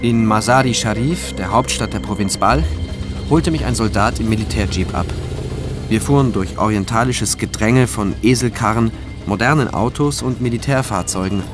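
Measured prosecutor, played by a man, over music. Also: on the low side, natural voice. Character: sober, probing male state prosecutor, narrating his case. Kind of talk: radio drama narration and questioning.

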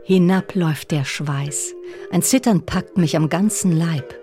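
A mellow voice of an actor recites ancient love poetry for a radio feature. The delivery is measured, intimate and intense, with a dark, soft tone. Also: mid-range voice, faint music behind, lightly acted. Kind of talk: poetry recitation, literary reading.

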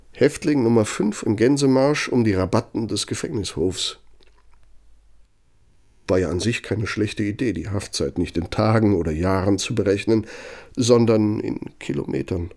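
An actor playing a pompous, bitter older former Nazi functionary dictating in a German radio play, sardonic and domineering. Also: male voice, on the low side, moderate pace, darkish tone. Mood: sardonic, reminiscing.

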